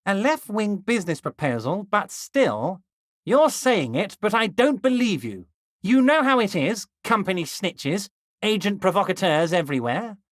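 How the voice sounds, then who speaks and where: Man playing a scheming union boss, game character, folksy, wheedling, bouncy lively pitch, dry close sound. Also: a little slow, dry recording. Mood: conspiratorial. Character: scheming jovial male union boss, middle-aged. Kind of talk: video game voice lines.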